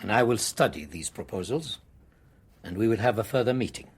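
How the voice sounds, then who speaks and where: An actor playing an imperious, ambitious government minister in a radio drama, speaking formally and emphatically. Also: male voice, fairly low pitch, lively intonation, brisk, breathy edge. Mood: officious, guarded.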